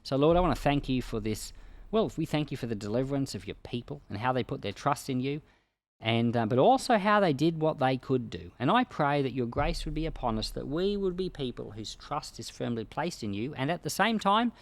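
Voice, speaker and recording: A man's voice: mid-range voice, expressive, fairly quick, no room sound. Male pastor, dry close sound. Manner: conversational, explaining in plain everyday words. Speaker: pastor and Bible podcast host. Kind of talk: informal Bible commentary and teaching.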